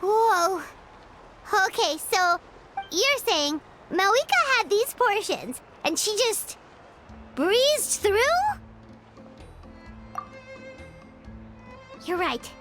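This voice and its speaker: Childlike fairy girl, game character, extremely high pitch, unhurried pace, exclamatory, music behind. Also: sing-song, heavily acted. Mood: doubtful, surprised. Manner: refers to self in third person, exclamatory. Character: small excitable childlike female fairy companion, gluttonous and dramatic. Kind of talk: animated video game voice acting.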